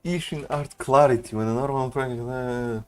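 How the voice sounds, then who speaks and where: A man's voice: mid-range voice, steady tone, normal speed, clear, resonant tone. A man doing casual gaming commentary, slangy and musing aloud. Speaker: gaming streamer. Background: game music.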